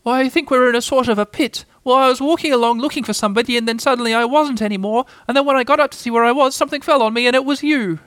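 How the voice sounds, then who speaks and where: A man voicing a small, timid piglet in a high, squeaky, nervous voice for a storybook reading. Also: fairly even intonation, rapid-fire, few pauses, fairly bright tone, clear, resonant tone, no room sound. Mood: plaintive, relieved. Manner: nervous, trembling, stammering.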